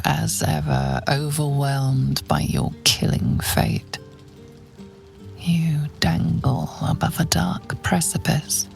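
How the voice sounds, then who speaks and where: An actor playing a grave, intimate game narrator, speaking in a measured, hushed, ominous way that nears a whisper. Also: medium pitch, heavily acted. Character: grave, intimate narrator of a dark fantasy tale. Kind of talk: dramatic scripted second-person game narration. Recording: clear music behind narration.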